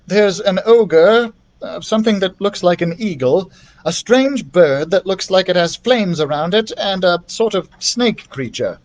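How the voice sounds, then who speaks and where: A man's voice: sits high, unhurried, voice pushed up. A man voicing an eager, clever young girl adventurer in a storybook, thinking aloud as the character speaks. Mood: hesitant.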